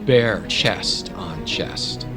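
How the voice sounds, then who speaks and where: A man narrating a dramatic scripted story in a measured, solemn manner. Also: on the low side. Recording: dramatic music bed. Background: music.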